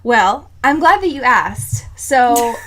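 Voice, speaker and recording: Girl on a podcast just chatting, super bright kinda high voice, sweary, acts stuff out. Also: takes it slowly. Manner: animated, sweary, acts out dialogue. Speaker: podcast co-host. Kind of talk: casual comedic chat and storytelling.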